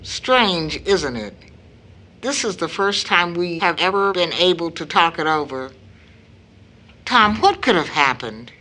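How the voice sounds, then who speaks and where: A woman playing a worried, grave middle-aged mother in an audio drama, speaking earnestly and deliberately. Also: lowish voice, animated, pushed and bright. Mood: troubled, brooding.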